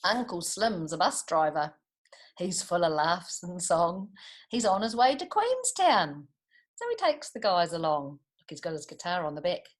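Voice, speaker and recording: Animated New Zealand woman reading to children. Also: lowish voice, measured pace. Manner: expressive, asks the listeners questions. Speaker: storytime reader for children. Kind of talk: animated picture-book read-aloud with chatty asides.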